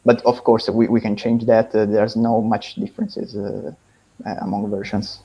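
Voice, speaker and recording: A man giving a spontaneous technical explanation, halting often mid-sentence, over an online call. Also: on the low side, little pitch movement, creaky, breathy edge, muffled recording. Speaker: developer answering questions.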